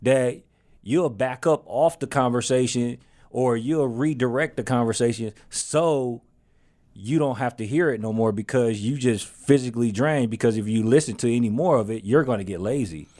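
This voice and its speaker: A man's voice: mid-range voice, moderate pace, warm tone. A man co-hosting a casual talk show, explaining his points step by step and acting out everyday examples. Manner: explains with acted-out examples, builds up energy. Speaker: co-host. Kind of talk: casual podcast chat.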